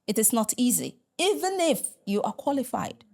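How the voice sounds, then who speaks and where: A middle-aged Nigerian woman, Igbo her first language, a religious sister and lecturer answering interview questions in a measured, hesitant way, with many fillers and restarts. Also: on the high side, sing-song, gravelly, a little mellow.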